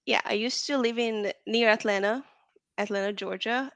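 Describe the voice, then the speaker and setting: Woman with a gravelly voice. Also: on the high side, moderate pace, a bit bright, no echo. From Hungary. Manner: chatty, frequent "like" and "um". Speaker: interview guest. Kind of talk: casual interview chat.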